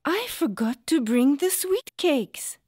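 An actress playing a gentle, patient mother in a children's cartoon, explaining things in a measured, softly formal way. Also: high voice, lively intonation. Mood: apologetic. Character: gentle, patient mother hosting a tea ceremony.

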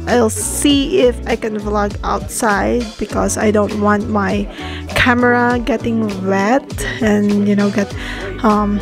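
A woman in her thirties chatting to her viewers in a casual travel vlog, talking into a handheld camera. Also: fairly high pitch. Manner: chatty, addresses viewers as guys. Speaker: travel vlogger. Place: hotel room indoors, then balcony outdoors. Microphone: handheld camera at arm's length.

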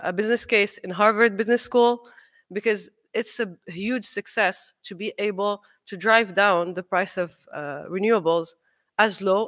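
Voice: medium pitch, fairly bright tone. A young adult from Morocco, giving an earnest, persuasive conference talk from a podium, with frequent ums and uhs. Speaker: conference speaker, engineer. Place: large auditorium stage. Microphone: podium microphone.

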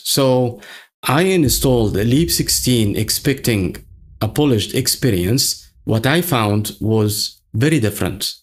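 A man in his forties talks through a scripted software review at an unhurried, steady pace, each point set down with care. His voice sits at an ordinary middle pitch for a man. He records at his desk on a mic in front of a webcam. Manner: slow, measured, deliberate delivery. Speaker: tech YouTuber. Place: small room at a desk, gaming chair.

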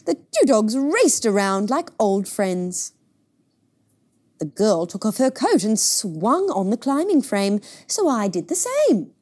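A woman's voice: mid-range voice, very animated, slow, darkish tone. A woman voicing a timid, polite, lonely young boy in a picture book, wistful and earnest. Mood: shyly delighted. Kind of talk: picture book read-aloud.

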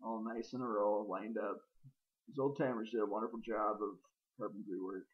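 A middle-aged man with a lowish voice talks his way through an old barn, pausing often with ums and uhs as he points things out. The sound is dull and lo-fi, straight from a handheld camera's built-in mic, outdoors and inside the barn. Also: fairly even intonation. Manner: rambling, hesitant, many ums and uhs. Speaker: homesteader filming his barn. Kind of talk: casual narrated walkthrough.

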